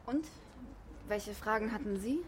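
A woman's voice: mid-range voice, measured pace, slight background noise, lightly acted. A woman playing young woman, earnest, searching, thoughtful, hesitant, natural radio drama delivery. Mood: curious, probing. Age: young adult. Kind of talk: naturalistic radio play acting.

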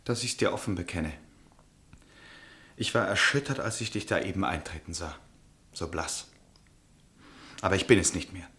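An actor playing a restless aristocratic count in a German radio drama, speaking in a declamatory, impassioned way. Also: male voice, sits low, normal speed, pauses often, pushed and bright. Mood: cold, reproachful. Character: restless aristocratic count breaking with his wife.

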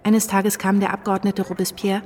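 Actress as a confiding young wife, medium pitch. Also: female voice, monotone, moderate pace, darkish tone, faint music behind, natural voice. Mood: confiding, worried. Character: anxious, dutiful young wife recounting her life. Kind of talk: first-person radio drama narration.

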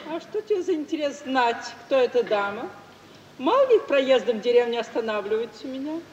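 An actress playing a shrewd, warm-hearted provincial gentlewoman in an expressive, emphatic 1950s Russian radio theatre style. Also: high voice, a little fast, muffled recording, slight background noise. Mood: guarded, teasing. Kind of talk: mid-century Russian radio theatre acting. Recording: 1950s radio play, distant, echoey hall.

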